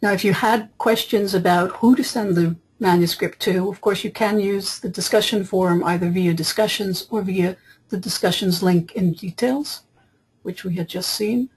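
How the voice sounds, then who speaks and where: A woman software trainer narrating a screen tutorial in an explanatory, step-by-step way, with a husky voice. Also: mid-range voice, normal speed, rough recording. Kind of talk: screen-capture tutorial narration, semi-improvised. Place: voice-over for screen recording.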